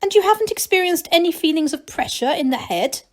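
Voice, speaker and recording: A woman's voice: very high-pitched, lively intonation, brisk, voice pushed up. A woman voicing a commanding, inquisitive gnome baroness who speaks carefully and formally in a storybook. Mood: probing.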